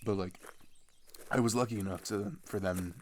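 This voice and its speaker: A young man playing a chatty, excitable teenage runaway in an ASMR audio roleplay, rambling and halting in casual slang as he tells road stories. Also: fairly low pitch, slight background noise, lightly acted. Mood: candid, animated. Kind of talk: improvised-sounding ASMR audio roleplay monologue.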